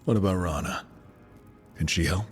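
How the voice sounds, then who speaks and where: A man playing a steady, sympathetic adventurer hero in a video game, plain and direct. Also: low-pitched, moderate pace, soft background music, close to an everyday voice. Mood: earnest.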